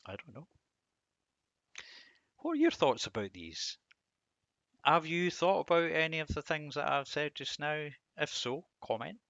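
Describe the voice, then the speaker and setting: A man giving an informal devotional commentary on verses, musing and halting, with self-deprecating asides. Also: a little high, very animated, vocal fry, fairly bright tone, no room sound, highs cut off. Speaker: host of a Bible reading series.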